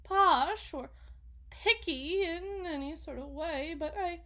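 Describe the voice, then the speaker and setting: A woman playing an anxious, lovesick suburban wife and mother in an ASMR roleplay, speaking in a halting, emotional way. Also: extremely high pitch, a bit bright, exaggerated voice. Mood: hesitant surprise. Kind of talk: ASMR roleplay, dramatic monologue.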